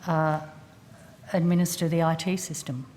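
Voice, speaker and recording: Lowish-voiced, guarded woman testifying. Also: fairly even intonation, a little mellow, a little hiss or hum. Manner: measured, guarded, hesitant. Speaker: senior public servant giving evidence. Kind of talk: formal testimony.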